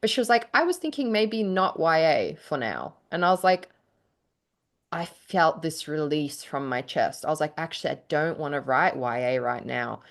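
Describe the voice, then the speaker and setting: A woman's voice: low voice, expressive, moderate pace. A woman co-hosting a casual podcast chat, often laughing as she talks. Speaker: podcast co-host and writer.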